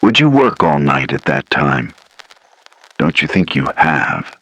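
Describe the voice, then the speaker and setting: Guy interviewing someone, really deep bass voice, informal questions. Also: big pitch swings, takes it slowly, bright, forceful tone, soft background music.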